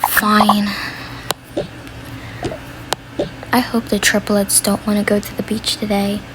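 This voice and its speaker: A woman's voice: mid-range voice, monotone, moderate pace, lightly acted. A woman voicing a tired, grudging mother in a casual, hesitant way for a homemade family role play. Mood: reluctant. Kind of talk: amateur doll-style family role play. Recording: noisy home recording.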